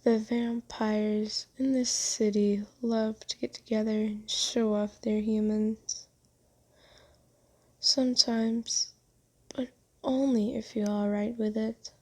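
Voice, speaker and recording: A woman playing a kind, doting, genteel vampire in an ASMR roleplay, speaking softly and soothingly with teasing endearments. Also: fairly high pitch, little pitch movement, slow. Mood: teasing, adoring.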